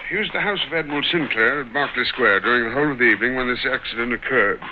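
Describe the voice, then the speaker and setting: A man's voice: mid-range voice, very fast, natural voice. A man playing a fussy, pompous senior government official in a vintage British radio drama, speaking precisely with an RP accent. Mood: precise, informative. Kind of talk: 1950s-60s British radio drama acting. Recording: dull, hissy vintage radio recording.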